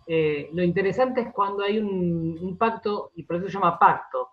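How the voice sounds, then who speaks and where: An Argentine man with a high voice answers as an interview guest. He explains his thoughts in repeated fragments and often ends them with a short tag question. The sound is somewhat dull and lo-fi. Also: normal speed. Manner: repeats words, checks in with "¿no?" and "¿sí?". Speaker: radio drama director, interview guest.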